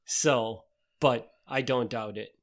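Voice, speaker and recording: A man with a broad Northeast Wisconsin accent co-hosts a podcast, talking in a laid-back, easygoing way. His voice sits at an everyday middle pitch, and he often repeats a word as he gets going. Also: fairly even intonation, slow. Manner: laid-back, chuckles often, repeats words when starting. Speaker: podcast co-host. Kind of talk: casual chat.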